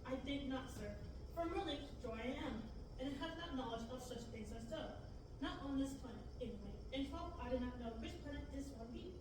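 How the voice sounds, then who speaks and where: A woman's voice: high-pitched, a little fast, lightly acted. A woman reading a fussy, anxious male protocol droid in an amateur Shakespearean table reading, stumbling over words. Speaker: table-read actor. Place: large classroom, read from a table. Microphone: far room camera. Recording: echoey room, distant mic, noisy.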